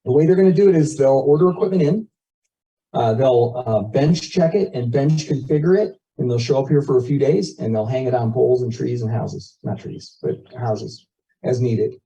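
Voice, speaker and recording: A man's voice: medium pitch, normal speed, gravelly, dark tone. Muffled man explaining things at a meeting. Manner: folksy, explanatory, uses plain comparisons. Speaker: community board member presenting at a meeting. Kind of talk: informal explanatory presentation to neighbours, with questions. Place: neighbourhood meeting, relayed over video call. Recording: muffled, distant microphone, meeting audio.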